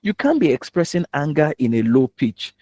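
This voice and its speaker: A man's voice: on the high side, sing-song, moderate pace, warm tone, no room sound. Nigerian man coaching in a dry, close sound. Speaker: public speaking coach. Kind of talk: live instructional talk.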